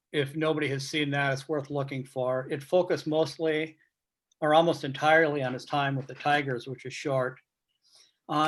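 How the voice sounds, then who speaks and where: A man speaking as a guest sportswriter in an informal panel discussion over a video call, explaining things in a measured way. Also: on the high side. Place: virtual meeting on video call.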